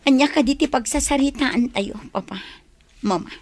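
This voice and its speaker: An actress playing a lovesick, reluctant young daughter in a radio drama. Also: female voice, high voice, moderate pace, a little mellow, no room sound, soft background music. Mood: pleading. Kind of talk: Ilocano radio drama acting.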